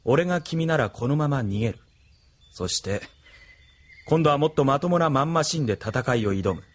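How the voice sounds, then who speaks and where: An actor voicing a cold, ambitious young officer who speaks in a cool, detached way, in a studio radio drama. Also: male voice, mid-range voice, lively intonation, moderate pace, close to an everyday voice. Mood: smug. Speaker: military officer and pilot.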